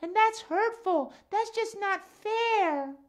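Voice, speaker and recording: A man voicing an earnest, principled female cat puppet for a children's show, with a halting, repetitive way of talking. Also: very high voice, lively intonation, voice pushed up, heavily acted. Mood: indignant.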